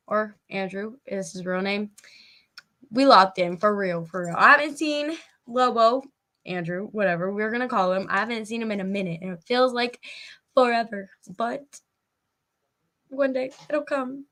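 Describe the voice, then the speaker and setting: A woman's voice: fairly high pitch, normal speed, a bit bright. A woman hosting a casual live-stream interview, chatting informally with her guest and audience. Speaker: podcast host and interviewer.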